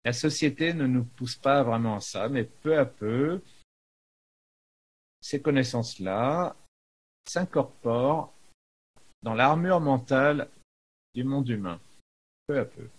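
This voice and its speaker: A man giving an unscripted spiritual talk as a teacher, in a halting manner with frequent pauses in the middle of phrases. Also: mid-range voice, slightly rough. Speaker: spiritual teacher, video maker.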